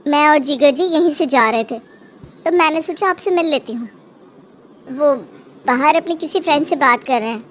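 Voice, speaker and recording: A woman voicing a protective young married daughter in a Hindi cartoon, fussing and quick to accuse. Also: sits high, natural voice. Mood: concerned. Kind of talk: Hindi cartoon moral story acting.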